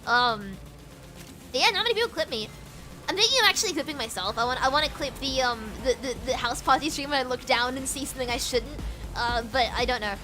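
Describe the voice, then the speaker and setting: Bubbly high-pitched young woman streamer. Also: fast talker, over music. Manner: bubbly, giggly and rambling, repeating words; switches between British and American accents. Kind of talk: casual chat while gaming.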